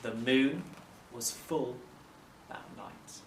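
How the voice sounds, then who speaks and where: A man narrating a children's story expressively, like a teacher reading aloud, with measured dramatic phrasing. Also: mid-range voice. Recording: roomy, distant mic, faint noise.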